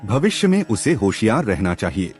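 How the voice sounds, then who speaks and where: A man's voice: lowish voice, sing-song, normal speed, a little mellow, soft background music. A man voicing a condescending magistrate in a story, reading a ruling in a formal, official manner. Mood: formal reproach.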